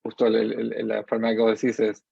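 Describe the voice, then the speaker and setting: Man, husky and gravelly, halting panelist, muffled dry call audio. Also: fairly low pitch, completely flat delivery, mellow, dry recording. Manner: halting, many fillers. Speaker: webinar panelist. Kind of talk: panel discussion. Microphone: video call.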